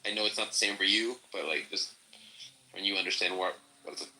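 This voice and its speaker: A man in a casual chat, talking in a rambling, animated way. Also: low-pitched, fast talker, low-quality recording. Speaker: podcast participant.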